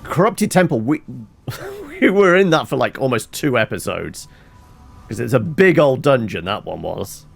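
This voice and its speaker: A man's voice: medium pitch, huge pitch swings, a little slow, gravelly, faint music behind. A man giving casual video game commentary, rambling and thinking aloud to himself. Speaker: gameplay streamer.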